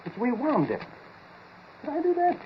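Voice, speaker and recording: An actor playing a bewildered, easily rattled laboratory partner, halting and stammering, in the style of 1940s radio acting. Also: male voice, very high-pitched, huge pitch swings, exaggerated voice. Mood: confused, uneasy. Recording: muffled, hissy 1940s radio recording.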